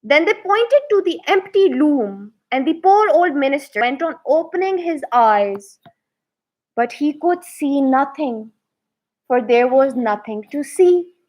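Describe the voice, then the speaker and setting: A woman reading a fairy tale aloud to children with deliberate, expressive emphasis. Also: high voice, poor audio. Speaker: children's storyteller.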